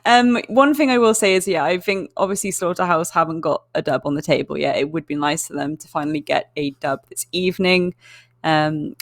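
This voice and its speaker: Woman, esports caster, low-ish voice, dry booth sound, chatty analytical delivery. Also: no room sound. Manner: measured, chatty, filler-heavy.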